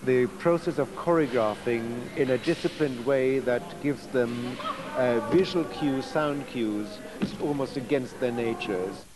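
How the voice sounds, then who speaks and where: A man with a mid-range voice speaks reflectively in an interview, pausing as he chooses his words. Steady background noise sits behind him. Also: medium pitch. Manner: reflective, with pauses.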